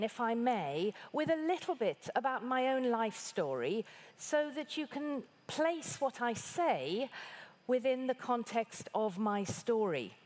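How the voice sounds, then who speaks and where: A woman from Iran, a bishop, delivering a formal prepared keynote address in a measured, articulate way. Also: on the high side, a little mellow.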